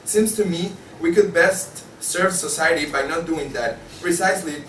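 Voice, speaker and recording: A man's voice: on the high side, normal speed, pushed and bright, recorded from a distance. A man playing a jaded, disillusioned journal editor in a table read of a stage play, stumbling now and then over his lines. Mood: weary, bitter. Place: indoor room, table read. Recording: echoey room from afar, faint noise.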